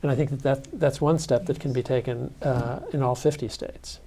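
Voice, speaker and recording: A man on a panel lays out research figures in an earnest way. His mid-pitched voice has a strong gravelly edge. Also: steady tone, moderate pace, dark tone. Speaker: sleep researcher. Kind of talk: panel discussion.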